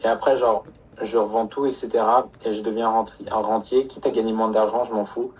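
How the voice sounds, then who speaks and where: A man chatting casually in a halting, slangy, jokey way. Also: lowish voice, little pitch movement, a little mellow, husky, recorded from a distance, poor audio. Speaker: e-commerce student. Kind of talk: casual chat.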